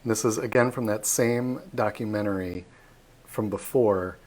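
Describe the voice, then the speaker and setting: Chatty, lively man giving an online tour. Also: lowish voice, normal speed. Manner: chatty, animated explainer. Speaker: tour guide. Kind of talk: live online talk.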